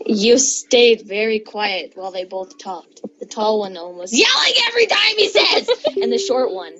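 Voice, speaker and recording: A woman's voice: mid-range voice, very animated, moderate pace, noisy background. A young woman reading fan fiction aloud to friends, giggly and stumbling over words, with joking asides. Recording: dull lo-fi mic, clear chatter around. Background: friends chattering and laughing.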